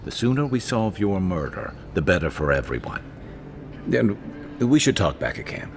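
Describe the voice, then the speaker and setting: A man playing a gruff, wry and loyal travelling companion in a fantasy video game, talking in a conversational, dryly humorous way. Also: low-pitched, big pitch swings, moderate pace, slight background noise. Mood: wry, protective.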